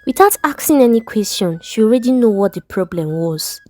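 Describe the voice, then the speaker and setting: A woman's voice: a little high, expressive, brisk, warm tone, no room sound, soft background music. A woman with a Nigerian accent narrating a scripted, dramatic story as a storyteller.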